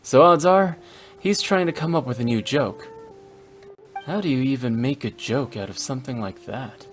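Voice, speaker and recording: A man's voice: mid-range voice, moderate pace, lightly acted. An actor playing a polite, sensible young fox-eared man in a video game, in an articulate conversational manner. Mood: friendly, wry. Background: music.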